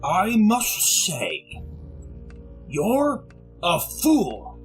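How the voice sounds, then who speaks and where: An actor playing a pompous, scornful, rich old gentleman with a creaky voice in a retro radio drama. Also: high-pitched, huge pitch swings, extremely slow, soft background music, full character voice. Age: older.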